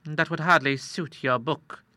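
A man voicing a shrewd middle-aged moneylender who talks in a slow, measured, coolly persuasive way. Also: a little high, steady tone, sharp tone, dry recording, clean studio sound, voice pushed up.

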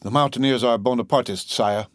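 A man's voice: mid-range voice, a little fast, dry recording, studio quality, natural voice. A man voicing an earnest, deferential magistrate addressing his king. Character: eager young male magistrate, deferential, earnest.

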